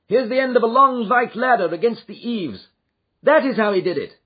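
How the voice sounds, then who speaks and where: A shrewd detective , voiced by a male reader, gives brisk, commanding orders in a raised, lively voice. His tone is husky, and the recording is dull and lo-fi. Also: very high voice, pitched above the performer's own voice, heavily acted. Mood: excited.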